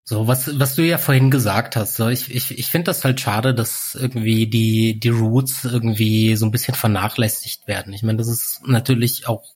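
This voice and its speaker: A man in his forties telling stories in a relaxed, rambling way, full of fillers, as a guest in a casual chat. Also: mid-range voice, normal speed, few pauses, slightly rough. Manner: relaxed storyteller, many fillers like "halt" and "irgendwie". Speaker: podcast guest.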